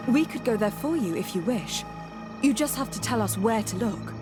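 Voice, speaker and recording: A woman's voice: fairly high pitch, lively intonation, normal speed, over music, natural voice. An actress playing a composed, diplomatic noblewoman adventurer in a video game, polite and articulate. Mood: reassuring. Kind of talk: dramatic video game acting.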